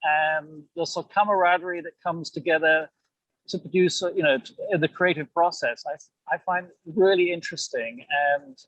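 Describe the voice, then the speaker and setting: An older British man speaks in a slightly high register, reflecting in conversation as an interview guest. He is self-deprecating and hedges as he goes, and the sound is close and dry. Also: moderate pace, a bit bright, no room sound, muffled recording. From England. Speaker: amateur actor, interview guest.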